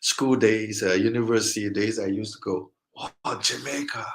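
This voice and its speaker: Male host, gravelly voice, upbeat and stop-start, filler-heavy. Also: lowish voice, fairly even intonation. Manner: halting, many fillers, upbeat. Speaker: interview host. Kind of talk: casual interview chat.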